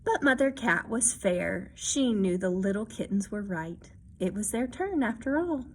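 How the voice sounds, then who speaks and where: A woman reading a children's picture book aloud expressively, pausing to add explanations and check-in questions for young listeners. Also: mid-range voice, lively intonation, a little hiss or hum. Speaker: read-aloud storyteller.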